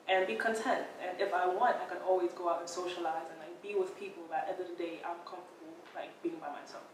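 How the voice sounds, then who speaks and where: 25 yo woman from brooklyn, kinda low voice, chill and thoughtful, sounds far off in a really echoey space. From Brooklyn, New York. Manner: relaxed, thoughtful, says "like" often. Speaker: interviewee. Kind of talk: casual reflective interview answers. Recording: very echoey, mic at a distance, faint noise.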